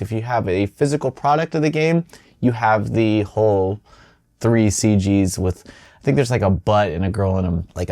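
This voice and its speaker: A man's voice: medium pitch, a little mellow, no room sound, clean studio sound. A man hosting a gaming news show, running through the stories casually with chatty, self-doubting asides. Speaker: host of a weekly video game news show. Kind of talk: casual news rundown with off-the-cuff commentary.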